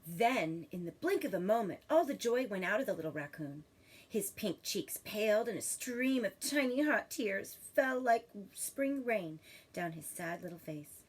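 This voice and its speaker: A woman teacher reading a children's story expressively and pausing to ask questions in a deliberate, instructive manner. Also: medium pitch, lively intonation, husky.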